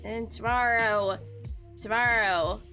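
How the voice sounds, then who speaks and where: A woman's voice: a little high, fairly even intonation, takes it slowly, pushed and bright, poor audio. A young woman chatting casually as she hosts a video game livestream. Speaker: game streamer. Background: game music.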